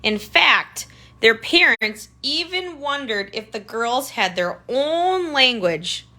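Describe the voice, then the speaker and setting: A woman's voice: a little high, very animated, takes it slowly, bright, forceful tone. A woman tutor reading a picture book aloud to children, adding simple explanatory asides and pointing things out. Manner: simple child-directed phrasing, pointing things out with questions and remarks.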